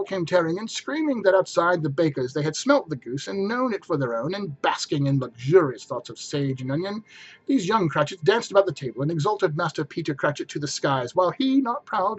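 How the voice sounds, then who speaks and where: Guy doing dramatic storybook narration, emphatic, very lively pitch, muffled lo-fi sound. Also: husky. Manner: theatrical, emphatic.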